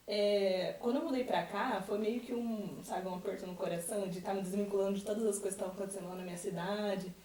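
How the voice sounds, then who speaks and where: A woman's voice: mid-range voice, darkish tone. A Brazilian woman chatting casually in a group as a member of a student theatre troupe. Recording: roomy, distant mic, faint background noise.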